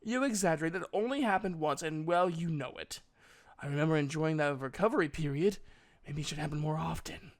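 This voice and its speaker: A man voicing a calm, forthright mother with a measured, frank manner, in a fantasy audiobook. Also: a little high, lightly acted. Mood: composed.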